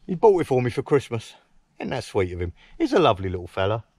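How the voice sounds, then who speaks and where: A man's voice: medium pitch, huge pitch swings, quick pace, a little mellow, slightly rough, no echo. A man, chatting casually to camera at a woodland camp, teasing his mate and mocking himself. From England. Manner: cheeky, teasing banter with dry self-mockery. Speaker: camping vlogger. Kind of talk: casual outdoor chat to camera. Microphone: camera mounted close on a tripod.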